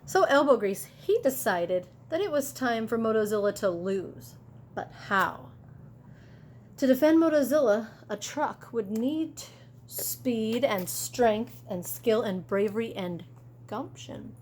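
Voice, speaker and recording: A woman teacher reading a picture book aloud to children, chatty and animated, with casual asides about the pictures. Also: fairly high pitch, takes it slowly, a little hiss or hum.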